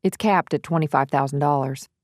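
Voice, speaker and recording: A woman playing an earnest defense lawyer in an audiobook, measured, soothing and reassuring. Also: low-pitched, no room sound, clean studio sound, lightly acted. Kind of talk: dramatic audiobook character dialogue.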